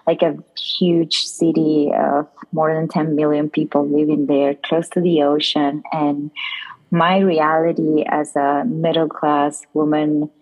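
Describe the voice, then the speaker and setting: Low-voiced woman with a Peruvian accent. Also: level tone, slow, highs cut off. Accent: Peruvian Spanish. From Lima, Peru. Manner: discursive, often checks in with "right?". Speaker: professor, podcast guest. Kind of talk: conversational explaining in an interview.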